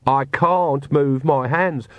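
A man's voice: mid-range voice, slow pace, dry recording, muffled recording, pitched above the performer's own voice, lightly acted. A man voicing an anxious, stubborn young witch woman with a strained, indignant manner. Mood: frightened, strained.

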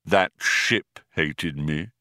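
A man voicing a depressed, self-pitying robot in an audiobook, speaking in a slow, flat, dejected drone. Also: deep voice, dry recording, clean studio sound, heavily acted. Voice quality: muffled drone. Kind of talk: audiobook character voice.

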